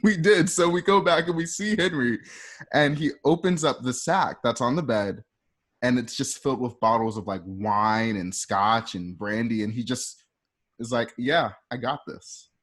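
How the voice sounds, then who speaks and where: A man's voice: mid-range voice, a little slow, fairly bright tone. A man narrating script scenes informally, in a chatty storytelling manner, as if walking listeners through each shot. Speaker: narrator reading stage directions. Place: at home.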